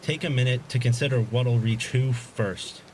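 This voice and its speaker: A man's voice: medium pitch, normal speed, a little mellow, lightly acted. Audio drama villain, male actor: cold gunman hijacker, barks clipped orders with menace, mid-range voice, slight background noise. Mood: cold threat. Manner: curt, commanding, threatening.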